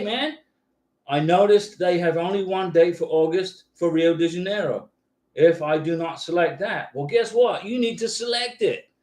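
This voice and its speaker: A middle-aged American man with a fairly high voice hosts a live stream and answers viewers. He talks in a brisk, bossy, coaching way and repeats his words as he goes. The room around him rings with echo. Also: a little mellow. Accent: mixed American, no regional accent. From United States, military family. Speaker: host answering viewer questions on visas. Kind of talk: live stream chat reading comments.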